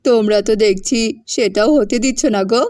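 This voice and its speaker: A woman's voice: on the high side, brisk, dark tone, dry recording, studio quality, voice pushed down, natural voice. A woman voicing an earnest, pleading college-age younger brother in a cartoon. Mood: exasperated, pleading. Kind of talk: cartoon voice acting.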